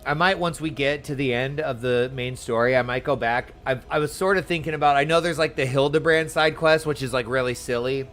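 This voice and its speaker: A man's voice: medium pitch, fairly quick, hardly any pauses, a bit bright. A man chatting casually while live streaming a game, giving loose commentary as he plays. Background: game music.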